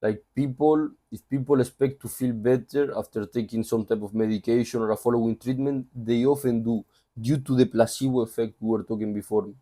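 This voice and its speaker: A man's voice: medium pitch, fairly even intonation, a little fast, warm tone. A man with a Spanish accent summarizing a book in a loose, conversational way, full of asides. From Spain. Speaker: book reviewer. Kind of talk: casual book summary talk.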